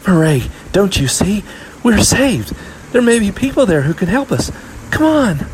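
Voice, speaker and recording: Man acting shrill storybook girl, high-pitched, bossy, impatient, scolding, urgent delivery. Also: very animated, measured pace, slight background noise, low-quality recording. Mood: urgent, excited. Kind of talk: audiobook character voice.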